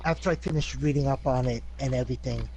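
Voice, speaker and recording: A man taking part as a player in a tabletop game, relaxed and brief in his replies. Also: mid-range voice, fast talker, a little hiss or hum, poor audio. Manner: brief agreeing replies, laid-back. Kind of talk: casual tabletop game chat.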